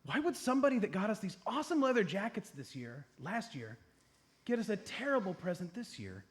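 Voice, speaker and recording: Male actor as an eager amateur sleuth, high voice, swooping delivery, theatrical stage radio comedy. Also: sing-song, a little fast. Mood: dejected. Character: eager, self-assured male amateur detective saving Christmas. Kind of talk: live comedy radio play acting. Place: live on a comedy club stage.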